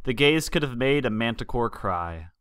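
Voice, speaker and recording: A man's voice: medium pitch, animated, normal speed, a bit bright, clear, resonant tone, no room sound, studio quality. A man reading a story aloud as scripted narration with dramatic delivery. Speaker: fan fiction reader.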